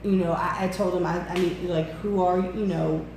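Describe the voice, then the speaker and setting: A woman's voice: fairly low pitch, fairly even intonation, fairly quick, darkish tone. A woman in her forties chatting casually, candid and emphatic, repeating points. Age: 40s. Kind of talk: casual chat. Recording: very echoey room with faint noise.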